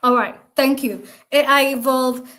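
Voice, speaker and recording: A woman moderating an online panel over a video call. She keeps things encouraging and moves speakers along with quick prompts. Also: sits high, a bit bright. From Kenya.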